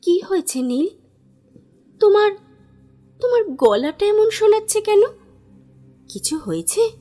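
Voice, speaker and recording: A woman playing an affectionate, anxious young bride-to-be in a Bengali audio drama, speaking in a theatrical, expressive way. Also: extremely high pitch, unhurried, soft background music, full character voice. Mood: worried. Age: young adult. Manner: theatrical, expressive, hesitant repetitions when alarmed.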